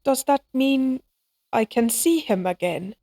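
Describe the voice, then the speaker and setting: A woman's voice: high voice, lively intonation, slow pace, creaky, warm tone, full character voice. A woman acting in an audio roleplay as an anxious, tender young mother who speaks hesitantly and wistfully. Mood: sad, confused.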